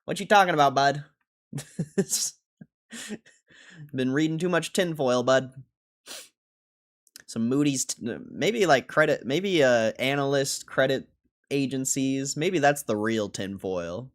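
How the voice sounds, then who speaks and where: A man's voice: medium pitch, takes it slowly, pauses often. A man hosting a livestream, reacting in casual, slangy commentary and repeating phrases for emphasis. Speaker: livestream host reacting to an interview.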